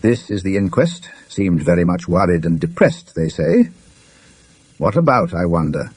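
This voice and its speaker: Man playing a breezy, mellow British gentleman. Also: low voice, lively intonation, moderate pace, no room sound. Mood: musing. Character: mature gentleman, companionable, mildly flippant newspaper reader.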